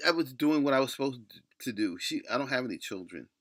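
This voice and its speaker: A man's voice: mid-range voice, expressive, fast, fairly bright tone. A middle-aged man with a lisp delivers a rambling, blunt opinion rant, cursing and breaking off mid-thought. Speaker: solo video blogger.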